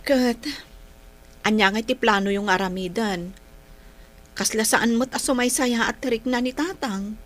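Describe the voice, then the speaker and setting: Woman acting a high-voiced, exasperated Filipino daughter. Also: unhurried, long pauses, lightly acted. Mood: worried. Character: concerned, exasperated grown daughter of ailing father. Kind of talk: Ilocano radio drama acting.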